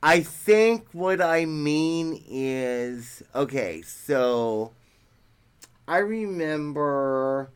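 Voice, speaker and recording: A man's voice: on the high side, expressive, drawn-out words, smooth. A man in his fifties chatting casually as a podcast co-host, asking questions and chiming in often with agreement. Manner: chatty, frequent affirming interjections. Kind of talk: casual chat.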